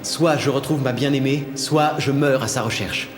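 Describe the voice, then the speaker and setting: A man's voice: medium pitch, moderate pace, soft background music, close to an everyday voice. Man playing an earnest young prince, reverberant. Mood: resolute. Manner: formal, deferential, ardent. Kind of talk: dubbed cartoon fairy-tale acting.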